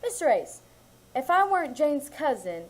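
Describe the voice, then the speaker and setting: A woman's voice: high voice, sing-song, moderate pace. A woman playing a pompous young office secretary in a live 1940s-style radio comedy, speaking in a prim, affected way and showing off big words. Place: live theater stage.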